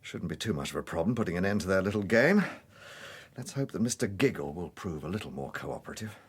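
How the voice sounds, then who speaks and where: An actor with an English accent playing a courteous, methodical gentleman police detective in a British radio drama, polite and measured as he probes. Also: deep voice, expressive, breathy edge. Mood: wry.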